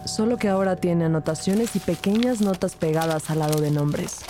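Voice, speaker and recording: A woman's voice: fairly low pitch, normal speed, over music, natural voice. An actress playing a composed police detective who narrates her case in a scripted crime audio drama. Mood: composed, serious.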